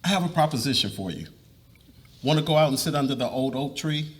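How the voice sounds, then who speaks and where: A man's voice: medium pitch, lively intonation, brisk, lightly acted. A man reading the part of an earnest, polite young college student in a dramatic reading of a novel. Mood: friendly, forward.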